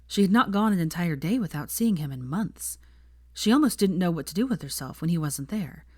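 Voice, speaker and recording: A woman's voice: fairly low pitch, expressive, fairly quick, no room sound, clean studio sound. A woman narrating fiction from a script as an audiobook reader.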